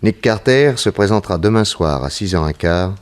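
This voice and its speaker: A very low male voice plays a shrewd detective working undercover. He is self-assured and commanding, talking in the brisk conversational manner of 1960s French radio drama. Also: lively intonation. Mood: confident, instructing.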